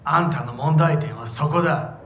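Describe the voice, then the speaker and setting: An actor playing a magnate's resentful, accusing grown son in a video game. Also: male voice, fast, a bit bright, recorded from a distance, lightly acted. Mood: bitter, defiant. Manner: accusing, bitter. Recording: roomy echo, faint rumble.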